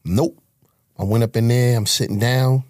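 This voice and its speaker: A man's voice: mid-range voice, steady tone, moderate pace, a little mellow, no room sound, studio quality. A man from Harlem with a New York accent, telling his life story in a casual, profane, streetwise way as an interview guest. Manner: streetwise, profane, acts out quoted voices.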